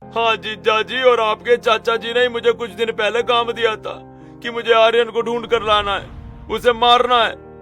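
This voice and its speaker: A man's voice: extremely high pitch, little pitch movement, normal speed, pushed and bright, faint music behind. A man playing a cowardly young crook in a cartoon, whining and pleading for mercy. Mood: frightened, pleading. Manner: whiny, pleading. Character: cowardly, pleading young brother-in-law, a small-time forger. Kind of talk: Hindi cartoon story acting.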